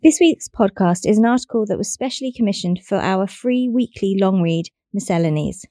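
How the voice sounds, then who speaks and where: A woman's voice: medium pitch, lively intonation, brisk, dark tone, dry recording, studio quality. A woman giving a scripted podcast announcement.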